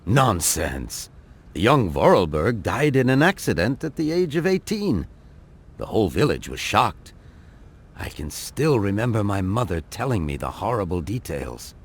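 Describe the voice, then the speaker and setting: An actor voicing a polite, obliging male innkeeper in an adventure game, formal and expressive in his manner. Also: on the low side, unhurried, husky, full character voice. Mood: dismissive, grave. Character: polite, obliging male village innkeeper, middle-aged or older.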